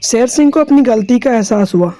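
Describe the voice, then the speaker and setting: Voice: medium pitch, expressive, normal speed, mellow. A narrator reading a scripted children's story.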